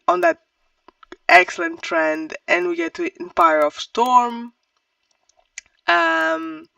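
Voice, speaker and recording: A book reviewer talking casually and thinking aloud, in a halting, hesitant and self-correcting way. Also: mid-range voice, creaky, a bit bright, no echo, recorded from a distance. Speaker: book reviewer on own channel.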